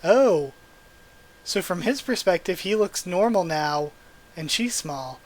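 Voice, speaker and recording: A hoarse young man with a cracking voice, hosting a casual manga readthrough and chatting excitedly as he goes. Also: high-pitched, slow, low-quality recording. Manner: chatty, excitable, thinks out loud. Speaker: channel host reading manga aloud. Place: small room at home. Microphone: gaming headset mic.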